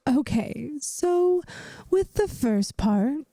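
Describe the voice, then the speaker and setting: Woman doing a gentle old vampire lady teacher, asmr roleplay, higher soft voice, super close dry sound, reassuring. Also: expressive, no echo, exaggerated voice. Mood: coaxing. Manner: soft, unhurried, soothing, teacherly.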